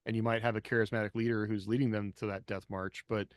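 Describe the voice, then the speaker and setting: A man's voice: fairly low pitch, little pitch movement, fast, dry recording, clean studio sound. A man speaking as a podcast co-host, thinking aloud informally in a casual panel discussion.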